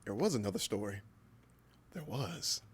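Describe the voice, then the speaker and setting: A man's voice: lowish voice, expressive, normal speed, breathy edge. A man in his early twenties chatting casually as a livestream host, with a playful, exaggerated way of talking. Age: early 20s. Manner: playful, exaggerated, self-mocking. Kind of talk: casual livestream chat, storytelling.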